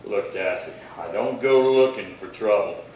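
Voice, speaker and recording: Radio western lawman male actor: laconic, steady, unhurried authority, distant and heavily reverberant with faint noise. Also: lowish voice, natural voice. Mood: calm, firm. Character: steady, watchful male US Marshal of the Old West. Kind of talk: old-time western radio acting. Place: little theatre stage, distant mic. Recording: very echoey hall, far from mic, faint noise.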